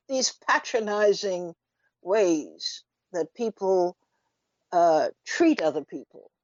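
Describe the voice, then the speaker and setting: A woman hosts a radio talk show in dull, lo-fi sound. She speaks slowly and emphatically, posing rhetorical questions and leaving deliberate pauses. The recording is dry, with very little room around her voice. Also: fairly low pitch, animated, a little mellow, dry recording. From Trinidad. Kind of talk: unscripted radio commentary.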